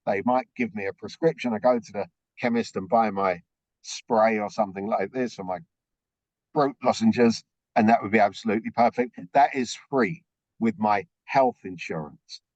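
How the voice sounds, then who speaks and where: Slightly croaky guy giving a group briefing on a call, lots of okays, dry and kinda muffled audio. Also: on the low side, moderate pace, a little mellow, no room sound. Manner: explains step by step, checks in with frequent okays. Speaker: orientation presenter.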